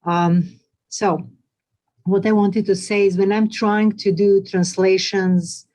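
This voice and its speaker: A woman's voice: medium pitch, fairly even intonation, slow, warm tone. Woman, hesitant. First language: Serbian. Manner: thoughtful, hesitant, many ums. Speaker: retreat participant. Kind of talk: casual discussion.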